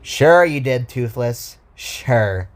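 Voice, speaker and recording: A man's voice: medium pitch, expressive, extremely slow. A man voicing a teasing but caring young girl in a narrated story. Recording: music behind the voice.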